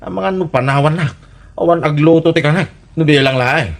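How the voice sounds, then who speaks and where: A man's voice: on the high side, moderate pace, gravelly. A man playing a boastful, defensive philandering husband in an Ilocano radio comedy drama, exclaiming and protesting dismissively. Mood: cocky.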